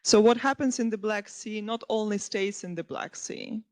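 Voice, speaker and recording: A woman's voice: medium pitch, normal speed, a little mellow. A woman historian speaking on a conference panel, earnest and often correcting herself mid-phrase. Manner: earnest, restarts and self-corrections.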